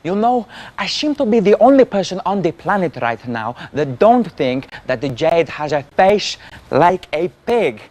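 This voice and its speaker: A man's voice: high-pitched, big pitch swings, a little slow, darkish tone. A middle-aged female superfan, besotted and breathless, gushing over her idol in a slightly raised voice, as a man acts her out. She talks in a dreamy, infatuated murmur, playing it for laughs on a TV comedy sketch.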